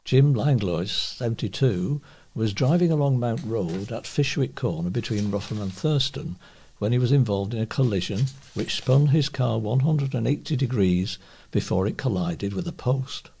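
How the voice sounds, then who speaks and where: A man's voice: medium pitch, animated, moderate pace, dark tone, a little hiss or hum. A man reading local newspaper reports aloud as a volunteer newsreader.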